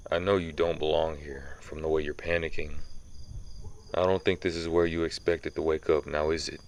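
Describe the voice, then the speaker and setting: A man playing a centuries-old vampire who hunts vampires, stern, commanding and menacing, in a scripted ASMR audio roleplay. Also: rumbling low. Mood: wary, probing. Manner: slow, menacing, interrogating.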